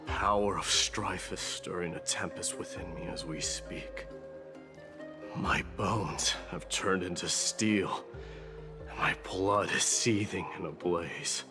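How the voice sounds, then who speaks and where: An actor playing a proud young warrior king in a video game, solemn, grandiose and measured in his speech. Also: low-pitched. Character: proud warrior king infused with a war god's might. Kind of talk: scripted game voice acting. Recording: music behind the voice. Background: game music.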